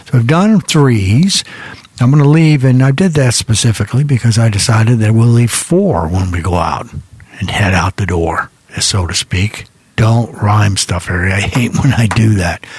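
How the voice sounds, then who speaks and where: Deep, very husky male radio DJ. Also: animated, moderate pace, darkish tone, no echo. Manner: folksy, meandering, thinking aloud. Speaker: radio DJ and host. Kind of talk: casual, rambling rock music radio show chat. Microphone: close studio microphone.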